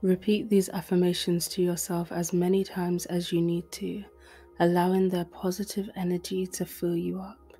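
A woman reading a guided meditation in a soft, breathy, gentle and soothing voice. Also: on the low side, little pitch movement, normal speed. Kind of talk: guided meditation, scripted narration. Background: music.

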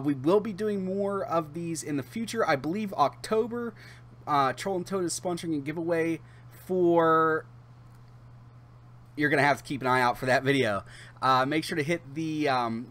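A man in his thirties hosting a channel, talking casually to camera in a chatty, halting way with drawn-out pauses. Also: a little high, fairly bright tone. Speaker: YouTube channel host. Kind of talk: casual direct-to-camera giveaway announcement.

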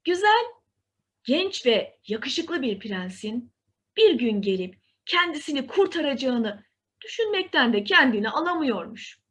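A woman tells a children's fairy tale in a high voice. Her intonation swings widely and expressively. She takes her time, with a slow, deliberate storytelling pace. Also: big pitch swings, fairly bright tone.

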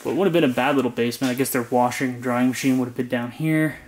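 A young man casually narrating his walk through an abandoned house, chatty and relaxed. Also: medium pitch, fast, slight background noise. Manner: relaxed, chatty, frequent "like" and laughs. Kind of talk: casual walkthrough vlog. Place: inside an empty abandoned house.

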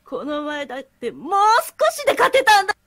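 A woman's voice: high voice, very animated, fairly quick, a bit bright, heavily acted. A woman playing a proud, competitive younger sister villain in an amateur voice drama rehearsal. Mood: defiant, proud.

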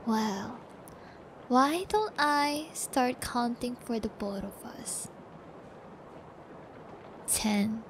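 A high-pitched, slow-talking sadistic psychopath. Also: female voice, fairly bright tone, a little hiss or hum. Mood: playfully menacing. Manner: taunting, gloating, giggly, drawn-out threats. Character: sadistic possessive female psychopath keeping a captive pet. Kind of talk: intimate ASMR audio roleplay.

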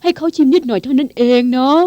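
High-pitched easygoing cartoon pal, played by a woman. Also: very fast, dark tone, no echo, lo-fi sound, close to an everyday voice. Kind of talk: educational cartoon dubbing. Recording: music behind the voice.

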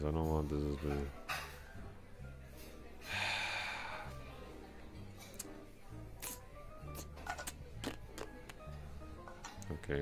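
A man acts out a drunken male coworker with a crush, in a very deep, slow-moving voice. He rambles and repeats himself, bashful and self-deprecating, in an intimate ASMR roleplay. Faint music plays behind him. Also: exaggerated voice. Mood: apologetic, woozy.

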